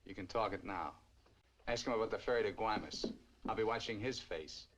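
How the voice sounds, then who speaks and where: An actor playing a menacing armed American hitchhiker, curt and commanding, in 1950s film noir style. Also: male voice, lowish voice, a little fast, lightly acted. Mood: cold threat. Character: menacing armed male hitchhiker killer bullying his captives. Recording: old film soundtrack, music or noise behind.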